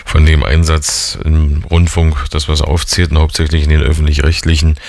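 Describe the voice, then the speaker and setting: A man in his forties hosts a radio interview in a conversational, probing way. His voice sits very low with a gravelly fry. The sound is dry and close. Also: flat delivery, moderate pace, darkish tone, no room sound. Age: 40s. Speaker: radio host interviewing a musician.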